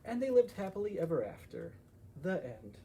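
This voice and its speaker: A man's voice: high-pitched, very animated, normal speed, creaky, mellow, slight background noise, poor audio. A man presenting library story time, reading picture books expressively for children with casual asides.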